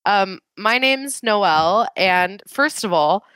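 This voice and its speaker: A woman's voice: mid-range voice, expressive, slow pace, a bit bright, no room sound. A congested woman co-hosting a podcast from home over a gaming headset, chatting in a playful, sarcastic way. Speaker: podcast co-host. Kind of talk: casual chat. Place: remote recording from home.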